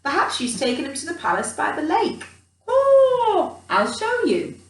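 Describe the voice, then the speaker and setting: A roomy, slightly distant recording of a fairy-tale crow, put on by a female storyteller. The bird is eager and helpful, cawing and calling out in a high, loud pitch. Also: wildly swooping pitch, unhurried, full character voice. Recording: roomy echo, distant from the mic.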